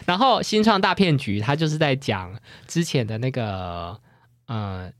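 A podcast host with a Taiwanese Mandarin accent, retelling stories in an animated, joking, casual way. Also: mid-range voice, a little slow, dry recording. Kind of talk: casual chat and storytelling.

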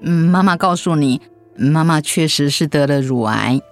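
An actress playing a gentle, hesitant middle-aged mother in a radio drama. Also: sits low, expressive, moderate pace, faint music behind. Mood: gentle, reassuring. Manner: gentle, hesitant, conversational with soft fillers.

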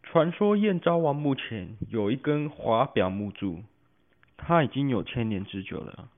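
Shrewd, suspicious official played by a male amateur, declamatory, very animated pitch, phone-like radio drama sound. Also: measured pace. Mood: knowing, certain.

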